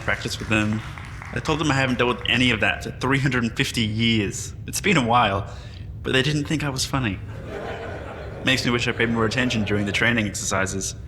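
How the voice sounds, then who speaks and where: A man's voice: mid-range voice, background noise, natural voice. An actor playing a wry, modest man revived from cryostasis, speaking in a reflective, conversational way in a sci-fi audio drama. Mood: easygoing, wry. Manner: self-deprecating, reflective, conversational. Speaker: interviewee at a press appearance. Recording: long hall reverb, music behind.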